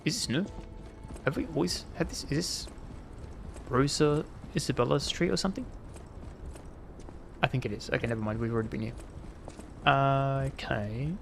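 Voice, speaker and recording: A man's voice: medium pitch, normal speed, gravelly, long pauses, a little hiss or hum. A man streaming a video game, rambling with jokey asides as he plays. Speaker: gaming streamer. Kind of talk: casual gameplay commentary.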